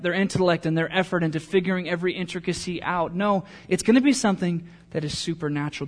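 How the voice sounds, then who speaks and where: High-voiced earnest male pastor. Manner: earnest, building to emphatic crescendos then dropping quiet. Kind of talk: sermon.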